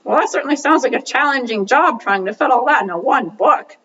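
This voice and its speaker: Woman acting a puppet show friend, high voice, curious, excitable, exclamatory, distant and muffled sound. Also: fairly quick, husky, exaggerated voice. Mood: inquisitive.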